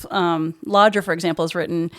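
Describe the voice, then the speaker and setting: An American woman who directs high school theater, answering casually in a podcast interview. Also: steady tone, brisk, fairly bright tone.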